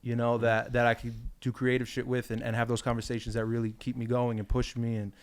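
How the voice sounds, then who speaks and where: A man's voice: mid-range voice, completely flat delivery, fast, clear, resonant tone. A man in casual podcast chat, sounding a little congested from a cold, reflective and loose. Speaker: podcast guest, painter.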